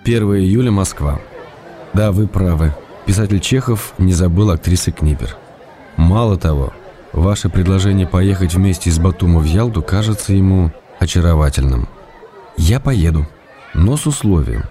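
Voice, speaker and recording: A man's voice: sits low, normal speed, warm tone, close to an everyday voice. A man playing a teasing, ironic writer. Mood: playful. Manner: teasing mock-dramatic irony. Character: ironic, tender male writer, playfully teasing. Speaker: letter reader. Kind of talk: acted reading of letters in a radio play.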